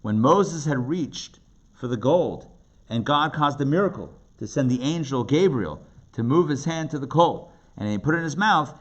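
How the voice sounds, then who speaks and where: A man's voice: mid-range voice, animated, moderate pace, hardly any pauses, lo-fi sound. A man teaching a class as a rabbi, explaining texts in plain talk with emphatic repetition and rhetorical questions. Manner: emphatic, repeats phrases and asks rhetorical questions. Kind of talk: interactive lecture, explaining commentaries in plain talk. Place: indoor class with participants. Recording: live classroom recording with background noise.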